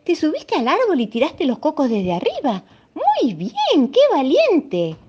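A woman's voice: a little high, lively intonation, fairly quick, warm tone, voice pushed up. Woman voicing a big fretful hippo for a storybook, lo-fi sound. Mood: praising. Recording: dull lo-fi sound.

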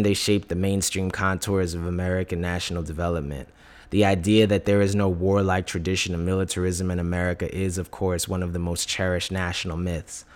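Very deep-voiced man reading formally. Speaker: reader of a political essay. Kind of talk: scripted reading of a political essay.

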